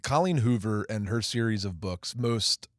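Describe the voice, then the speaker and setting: A man with a lowish voice co-hosting a movie podcast. He chats casually, mixing short agreeing replies with steady explaining. The sound is dry and close. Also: moderate pace, no room sound. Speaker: podcast co-host.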